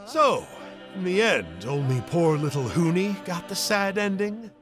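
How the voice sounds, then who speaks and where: A man's voice: sits high, sing-song, slow, music in the background, exaggerated voice. A man voicing a small, boastful uncle creature in a video game, telling stories in a folksy, playful, melodramatic way. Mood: playful, coaxing.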